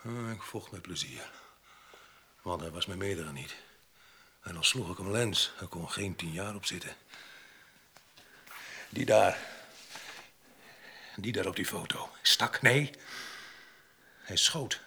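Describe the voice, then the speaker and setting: An actor in a radio drama playing a rough, haunted man with a husky, gravelly voice and a halting, fragmented way of talking. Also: low-pitched, animated, sharp tone, a little hiss or hum, exaggerated voice. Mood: agitated, brooding. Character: rough, haunted man confessing a violent past. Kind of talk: Dutch radio drama acting.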